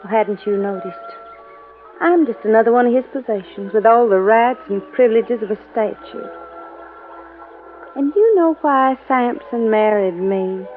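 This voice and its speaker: An actress playing a flirtatious, wistful wife in a 1950s radio drama, with a coy, theatrical manner. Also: female voice, fairly high pitch, measured pace, natural voice. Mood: bitter, wistful. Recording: tinny old radio recording with music.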